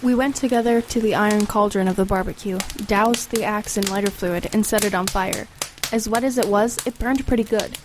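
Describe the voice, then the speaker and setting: A woman's voice: mid-range voice, a little fast, hardly any pauses, background noise, close to an everyday voice. A young woman playing an earnest, anxious but resolute teenage girl who narrates and acts in an audio horror drama. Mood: subdued. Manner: earnest, urgent in dialogue, reflective in narration. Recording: rain and ambience behind the voice.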